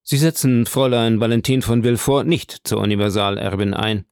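Male reader as formal, businesslike notary; mid-pitched, dry close sound. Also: steady tone, a little fast, darkish tone, clean tone, dry recording, natural voice. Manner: formal questioning. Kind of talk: audiobook character voice.

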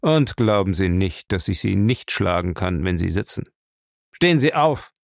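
Male narrator as brutal captain, audiobook interrogator, curt, gruff, commanding, threatening, close dry sound. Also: deep voice, animated, moderate pace, no room sound. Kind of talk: audiobook character acting.

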